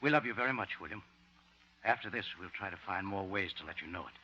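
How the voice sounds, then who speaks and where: An actor playing a reserved, well-to-do father who speaks with halting politeness in a 1950 radio comedy. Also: low voice, expressive, sharp tone, slightly rough, full character voice. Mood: earnest. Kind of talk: 1950 radio sitcom acting. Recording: muffled 1950 radio broadcast.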